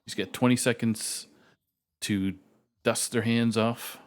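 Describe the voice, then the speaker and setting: A man's voice: medium pitch, takes it slowly. A Canadian man with a Canadian accent, casually commentating a live card game stream and joking with his co-caster. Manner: animated, jokey. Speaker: esports caster.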